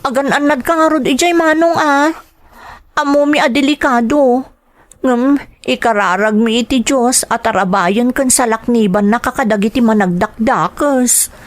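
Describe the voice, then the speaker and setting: Woman playing dutiful young filipina daughter in an audio drama, high voice, earnest n respectful, affectionate. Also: moderate pace, natural voice. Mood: surprised, anxious. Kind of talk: Ilocano audio drama acting.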